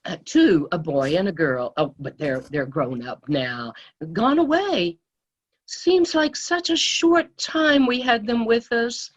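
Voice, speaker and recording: Slow, husky older country wife. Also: female voice, medium pitch, highs cut off. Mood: wistful. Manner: hesitant, homely, repeats words. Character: hospitable, gentle older storekeeper's wife. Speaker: actor in a radio play. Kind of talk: family radio drama acting.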